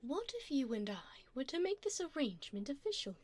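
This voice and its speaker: Casting: actress as rich female vampire for ASMR roleplay; highish voice, lilting and unhurried, breathy, poised, doting with a predatory edge. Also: big pitch swings, a little mellow. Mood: smooth, persuasive. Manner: poised, formal, teasing, often breathy and half-whispered. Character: seductive, wealthy vampire woman, doting and predatory. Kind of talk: ASMR roleplay, addressing the listener.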